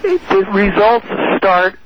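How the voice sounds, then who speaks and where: A man's voice: high voice, big pitch swings, slow pace, lo-fi sound, noisy background. A man as a guest expert on a radio talk show, explaining ideas step by step with many "you know"s.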